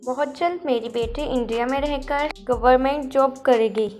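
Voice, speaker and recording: Woman as a composed, high-voiced matron. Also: moderate pace, a little mellow, natural voice. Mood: calm persuasion. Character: girlfriend's mother, composed, confident city matron. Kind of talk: dramatized Hindi moral cartoon story.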